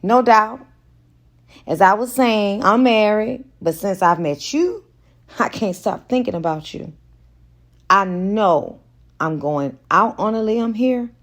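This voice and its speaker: A flirtatious businessman from a romance audiobook, voiced by a female reader. He is smooth, forward and teasing, speaking at an easy, slow pace with confidence. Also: medium pitch, voice pushed up. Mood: earnest, forward.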